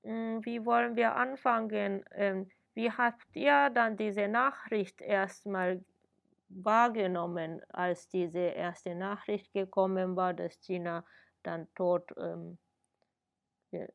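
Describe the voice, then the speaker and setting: A woman hosting a radio interview, warmly enthusiastic and hesitant, with many fillers. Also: mid-range voice, steady tone, slow, vocal fry, dull audio. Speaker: radio show host.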